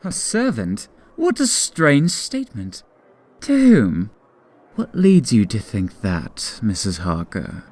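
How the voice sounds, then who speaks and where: Sly male lunatic servant, lowish voice with big swoops, taunting and falsely courteous, for gothic radio play. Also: wildly swooping pitch, takes it slowly, a little mellow, dry recording, full character voice. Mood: taunting, evasive. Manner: theatrical, mock-polite, taunting drawl. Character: sly, mocking asylum lunatic, devoted servant to a dark master. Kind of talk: audio drama acting.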